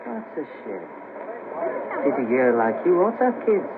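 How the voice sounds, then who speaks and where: A man's voice: mid-range voice, big pitch swings, measured pace. An actor playing a blunt, hard-up, henpecked married father who moans in colloquial speech, in a 1970s radio play. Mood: blunt, fed up. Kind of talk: 1970s radio drama acting. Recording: very noisy, tinny 1970s radio cassette.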